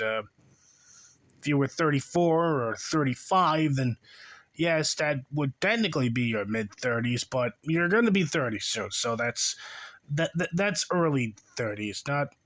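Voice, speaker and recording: A man's voice: mid-range voice, a bit bright. A man in his twenties hosting a reaction video, giving sarcastic, exasperated commentary that mocks the person in the clip. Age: 20s. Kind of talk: mocking commentary over a clip.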